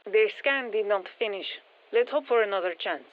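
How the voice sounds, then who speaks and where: An actress playing a brisk, focused female military scientist giving clipped mission instructions over a radio in a video game. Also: medium pitch. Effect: radio filter. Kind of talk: scripted game mission briefing. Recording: tinny band-limited radio transmission.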